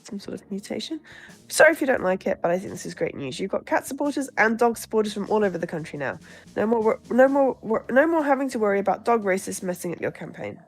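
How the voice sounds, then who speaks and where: A woman's voice: medium pitch, big pitch swings, fast, faint music behind. A woman voicing a positive, matter-of-fact campaign aide in a visual novel, blithe and consoling. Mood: upbeat.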